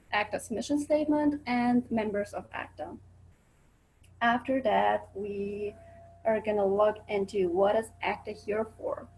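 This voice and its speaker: A woman's voice: mid-range voice, darkish tone, a little hiss or hum, rough recording. A young woman from Switzerland with an accent, earnestly giving a student webinar presentation over a video call, with frequent ums. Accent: Swiss.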